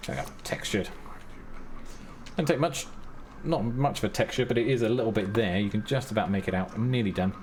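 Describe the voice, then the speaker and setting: A man's voice: lowish voice, brisk, darkish tone, slight background noise. A man streaming a building game, muttering casually step by step to himself with self-mocking asides. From Britain. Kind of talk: live-stream gameplay commentary. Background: his own background music.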